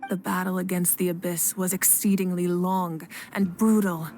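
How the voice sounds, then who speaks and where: A woman's voice: on the low side, little pitch movement, normal speed, a little mellow, faint music behind, close to an everyday voice. A woman playing a composed, commanding female leader in a dramatic video game. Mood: grave.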